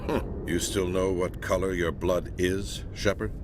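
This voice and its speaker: An actor playing a stern, disapproving middle-aged admiral in a sci-fi video game, gruff, blunt and sardonic in a commanding way. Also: male voice, deep voice, fairly even intonation, a little slow, noisy background. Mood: scornful. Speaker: rear admiral character.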